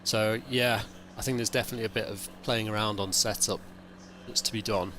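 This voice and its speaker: A man streaming a sim race, with a croaky, hungover voice, rambling and thinking aloud in casual chat. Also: on the low side, fairly even intonation, brisk, bright, forceful tone, slight background noise. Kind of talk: casual live-stream commentary.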